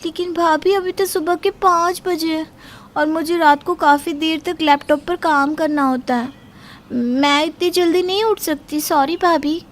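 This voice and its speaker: A woman's voice: extremely high pitch, steady tone, a little slow. A woman playing a young working daughter-in-law in a cartoon moral story, put-upon, pleading and protesting.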